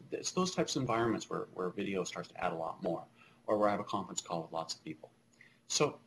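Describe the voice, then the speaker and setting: A low-voiced man in his thirties talks through a keynote over a webcam from a small office, loose and conversational, full of ums and restarts. Faint hiss sits under the video-call audio. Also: a bit bright, poor audio. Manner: conversational, many fillers and restarts. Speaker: keynote speaker. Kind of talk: live conference keynote over video link.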